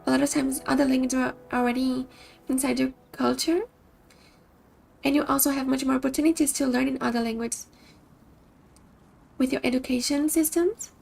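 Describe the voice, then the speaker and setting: A woman's voice: sits high, steady tone, normal speed, gravelly, pauses often, darkish tone, soft background music. A woman from Brazil with a Brazilian Portuguese accent, a streamer chatting casually with her viewers and often restarting sentences as she searches for words.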